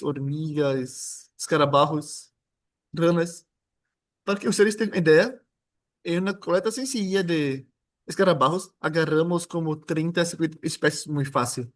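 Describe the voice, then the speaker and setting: Brazilian man giving a casual seminar. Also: a little high. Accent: Brazilian Portuguese. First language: Portuguese. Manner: informal, joking, halting with restarts. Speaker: guest lecturer. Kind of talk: academic seminar talk.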